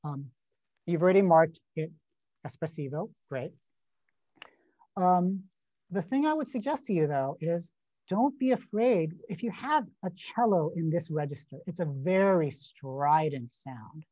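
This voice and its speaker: A man's voice: on the high side, expressive, unhurried, dark tone, rough recording. A man critiquing a student's score in an online composition masterclass, talking casually with many fillers and "right?" checks. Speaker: composition teacher giving feedback. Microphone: video call.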